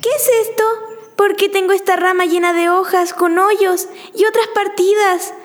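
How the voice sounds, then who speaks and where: A woman playing a young seedling tree in a school radio play, emotional and self-conscious, speaking slowly and expressively. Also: very high voice, steady tone. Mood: upset.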